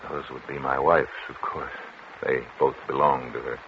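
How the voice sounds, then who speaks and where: An actor playing a controlling, calculating doctor in a formal, measured 1940s radio suspense style. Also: male voice, very deep voice, flat delivery. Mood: cold, measured. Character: controlling, coldly calculating male doctor narrating his crime. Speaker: radio actor, lead and narrator. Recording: muffled, noisy vintage 1940s radio recording.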